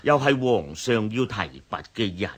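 An actor playing a smooth-talking, scheming chancellor of imperial China in a historical radio drama, husky and unctuous in manner. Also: male voice, on the low side, sing-song, a little slow, heavily acted. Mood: ingratiating.

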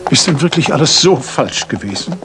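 An actor playing a detective's loyal gentleman companion in a radio play. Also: a little high, expressive, moderate pace, music in the background, lightly acted. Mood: dry, questioning. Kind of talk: German radio play acting.

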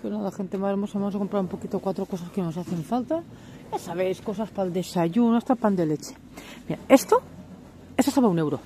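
A woman's voice: mid-range voice, warm tone. A woman chatting casually while filming her supermarket shopping on a handheld camera. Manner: chatty, exclaims over prices and products. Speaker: vlogger. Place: supermarket indoors. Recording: noisy supermarket ambience.

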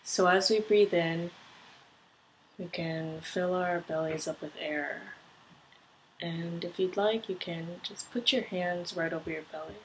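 A woman leading a guided meditation, giving gentle step-by-step instructions. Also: fairly low pitch, steady tone, measured pace, rough recording. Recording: clear background noise, ambient sound.

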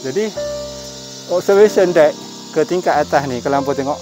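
A man's voice: fairly high pitch, a little fast, over music. A middle-aged man with a northern Malaysian Malay accent chatting casually through a face mask on a walking vlog outdoors. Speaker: vlogger. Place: outdoors on forest park trails by a cave. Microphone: camera at arm's length.

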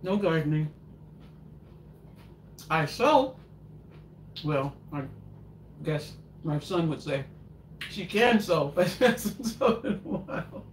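A woman's voice: low voice, expressive, slow pace, long pauses. An older woman hosting a live home cooking show, chatting and rambling as she answers viewer questions. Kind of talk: casual live chat while cooking. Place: home kitchen, indoors. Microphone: far camera in front of counter. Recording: echoey kitchen, noisy, mic at a distance.

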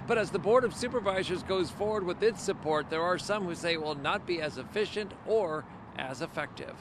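A man's voice: sits high, fairly quick, noisy background. An American man of mature age delivering a scripted TV news report as a field reporter, speaking into a handheld microphone. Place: outside a fire station on a street. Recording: outdoor live report with street ambience.

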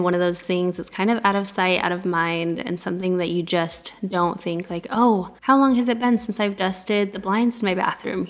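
A woman in her thirties talking in a friendly, upbeat and casual way, as a home vlogger chatting to viewers. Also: lowish voice. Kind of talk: chatty vlog narration. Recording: music behind the voice.

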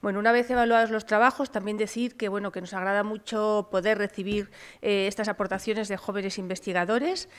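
Middle-aged female prize presenter, smooth clear voice, semi-formal announcement a podium. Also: mid-range voice, fairly even intonation, brisk. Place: auditorium stage at a conference. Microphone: gooseneck podium microphone.

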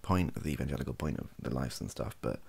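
Very deep, gravelly British young man. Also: lively intonation, fast talker, a little mellow. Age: young adult. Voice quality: gravelly, husky. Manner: rambling, hesitant, trailing off. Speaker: ASMR YouTuber. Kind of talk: softly spoken improvised opinion monologue. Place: small home room, indoors.

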